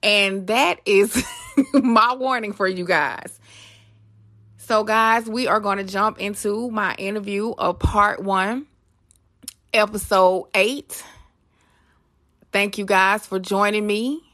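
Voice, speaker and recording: A woman hosting a podcast in a casual, effusive, informal way, quick to laugh. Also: medium pitch, fairly bright tone, no echo, studio quality. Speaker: podcast host.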